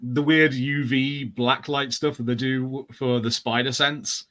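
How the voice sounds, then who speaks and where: Mid-pitched man in casual discussion. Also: steady tone, measured pace, a bit bright. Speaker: podcast co-host. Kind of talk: casual chat.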